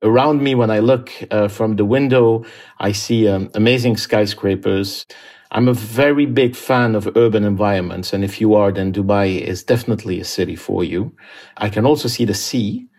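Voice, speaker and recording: Low-pitched man, calm and reflective. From Syria and Lebanon. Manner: calm, thoughtful, with frequent "uh" pauses. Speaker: aid worker telling his story. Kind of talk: reflective monologue. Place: quiet home office.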